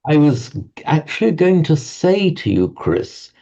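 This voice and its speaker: A man's voice: a little high, sing-song, creaky, dark tone, muffled recording. A man teaching an online language class, patiently correcting pronunciation and often stammering over his words. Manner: halting, stammering repeats, patient. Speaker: Sanskrit teacher.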